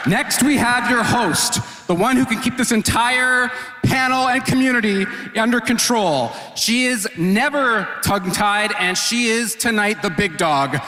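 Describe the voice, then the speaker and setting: High-pitched hyped male announcer in echoing hall. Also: a little slow. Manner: hyped, projecting showman delivery. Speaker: announcer introducing panelists. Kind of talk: live event stage introductions. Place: large convention hall stage. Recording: echoey hall, loud crowd and noise.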